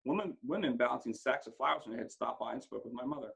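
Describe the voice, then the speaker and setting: Male storybook reader, husky with some fry, expressive read-aloud delivery with the odd stumble. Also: medium pitch, fast talker. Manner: dramatic, acts out dialogue, stumbles over words now and then. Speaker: school principal reading a book aloud. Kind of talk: expressive audiobook-style read-aloud of a first-person story.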